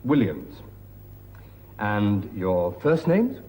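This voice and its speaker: A low, mellow voice that speaks slowly and formally: a courteous immigration officer. The recording has a roomy echo with faint ambience behind it. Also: male voice, big pitch swings, full character voice. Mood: polite. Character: polite British immigration officer.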